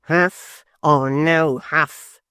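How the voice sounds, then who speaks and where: Narrator voicing a haughty young apprentice: pompous, sulky, slow and theatrical, dry close sound. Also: male voice, a little high, fairly even intonation, pushed and bright, clean tone, dry recording, exaggerated voice. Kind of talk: audiobook character voice.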